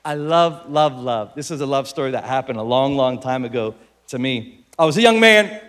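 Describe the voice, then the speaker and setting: Animated man preaching with an American accent. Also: mid-range voice, moderate pace. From Philippines. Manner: animated, jokey, bursts into shouts. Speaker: pastor. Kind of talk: sermon with comic storytelling.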